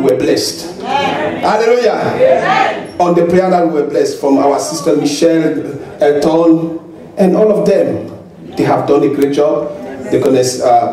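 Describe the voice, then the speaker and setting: Cameroonian pastor man, lots of hallelujahs, recorded far off in a big echoey church. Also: a little high. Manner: animated, repeats hallelujah and amen often. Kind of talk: church address to congregation. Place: large church hall stage. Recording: echoey hall with crowd, from far off.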